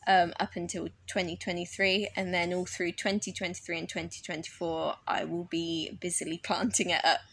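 A woman's voice: on the low side, level tone, normal speed, vocal fry, few pauses, fairly bright tone, husky, poor audio. A woman horticulturist in a podcast conversation, enthusiastic and openly nerdy as she explains her garden project. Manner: enthusiastic, self-described nerd.

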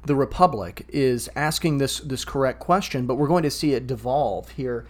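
Man, mid-pitched, earnest podcast co-host who restarts his sentences and stammers while thinking aloud. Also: moderate pace, darkish tone. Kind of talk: casual discussion podcast.

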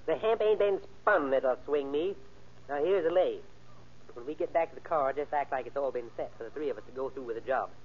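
A man's voice: medium pitch, fairly quick, natural voice. A man acting as a cold, cocky gangster ringleader in an old-time radio crime drama, with slangy, bossy tough-guy talk. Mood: cocky, scheming. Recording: tinny, band-limited old radio recording.

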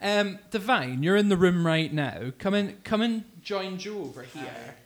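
A man's voice: high voice, animated, a little slow. A Scottish man co-hosting a podcast, talking in a casual, chatty and sweary way. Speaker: podcast co-host.

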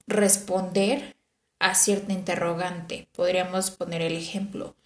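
Female lecturer, measured explanatory delivery with frequent fillers, roomy sound. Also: mid-range voice. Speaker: teacher explaining language learning.

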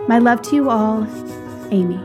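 A woman's voice: a little high, normal speed, music in the background, natural voice. A woman acting in a period audio drama as a spirited, frank young lady, speaking with polished, formal diction. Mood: letter, troubled. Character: Amy, spirited, refined young lady abroad, fond and frank.

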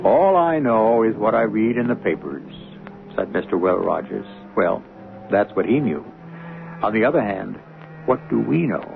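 A narrow vintage radio sound with music behind carries a gravelly male host. He narrates in a theatrical, musing manner with lively inflection and deliberate rhetorical pauses. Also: on the low side. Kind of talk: dramatic scripted radio narration. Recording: narrow old radio recording.

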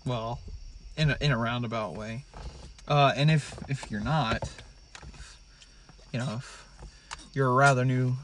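A man's voice: medium pitch, steady tone, measured pace, long pauses, fairly bright tone, a little hiss or hum. A young man hosting a podcast in casual chat, hesitant, with many ums and uhs. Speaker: podcast host.

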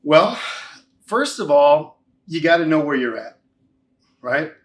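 Higher-voiced man in his forties, interview guest. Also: lively intonation, slow pace. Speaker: interview guest, financial advisor. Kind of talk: conversational testimonial to an interviewer.

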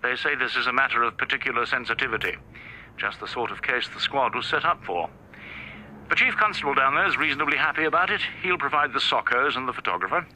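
An actor playing a brisk, authoritative senior male police chief over a telephone in a radio drama. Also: medium pitch, lively intonation, sharp tone, slight background noise, poor audio. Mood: brisk, official. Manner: brisk, official. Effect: telephone filter. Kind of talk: radio drama phone dialogue.

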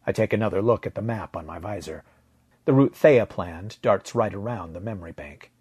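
Low, husky male story narrator, close and dry. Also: moderate pace, a little mellow, no room sound. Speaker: fiction narrator. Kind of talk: first-person audiobook narration of a science fiction story.

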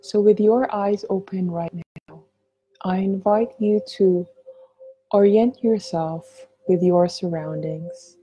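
A woman guiding a meditation in a gentle, soothing, unhurried voice, leaving long pauses between phrases. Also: medium pitch, husky, poor audio. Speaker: meditation guide. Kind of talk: guided meditation narration.

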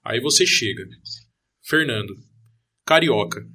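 A man interviewing his guest, asking follow-up questions and joking in a relaxed way. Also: mid-range voice, unhurried, husky. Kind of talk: conversational interview.

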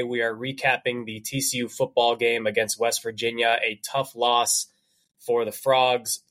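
A man's voice: mid-range voice, a bit bright. Male podcast host, slightly congested, upbeat patter, casual sports chat. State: congested from allergies.

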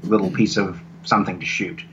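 A man chatting casually as an interview guest about his creative work, haltingly, often repeating words and restarting. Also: deep voice, steady tone, muffled recording, background noise. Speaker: radio drama writer and producer, interview guest.

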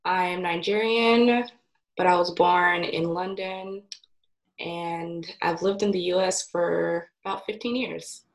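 A woman in her twenties gives a calm, brief introduction. She speaks slowly in a somewhat low voice. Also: low-quality recording. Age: 20s. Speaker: podcast guest.

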